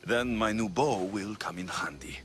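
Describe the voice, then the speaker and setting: An actor playing a young mercenary in a video game, wry and put-upon, with a blunt, grumbling manner. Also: male voice, lowish voice, moderate pace, a bit bright, soft background music, natural voice. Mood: dry, blunt. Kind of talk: video game cutscene acting.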